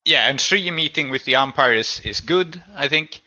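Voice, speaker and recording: A man's voice: fairly high pitch, expressive, moderate pace, pushed and bright, dry recording, poor audio. A man hosting a tabletop role-playing game as game master, casually setting scenes and asking explanatory, inquisitive questions of the players. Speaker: game master and host of a tabletop actual-play podcast.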